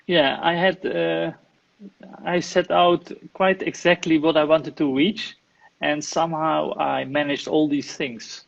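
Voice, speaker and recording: Gravelly Dutch-accented man, hesitant. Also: on the high side, little pitch movement, slow, dull audio. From Netherlands. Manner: hesitant, many fillers and restarts. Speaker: guest, IT manager and property investor. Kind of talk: live online interview. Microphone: video call.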